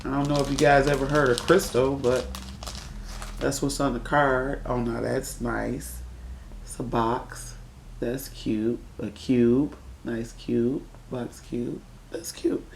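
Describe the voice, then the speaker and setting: A woman casually chatting through an unboxing for her viewers, her voice raspy from a cold as she admires each piece. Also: a bass voice, little pitch movement, takes it slowly, a little hiss or hum. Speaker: unboxing vlogger. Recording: echoey room.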